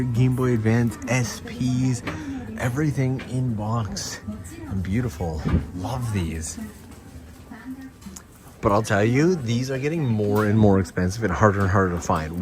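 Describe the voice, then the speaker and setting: A man live-streaming as he walks through shops and streets, chatting and exclaiming with enthusiasm. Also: fairly low pitch. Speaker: streamer vlogging around Tokyo. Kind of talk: live-stream walking vlog. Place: shop in Tokyo, then outdoors on a street. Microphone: handheld camera on gimbal.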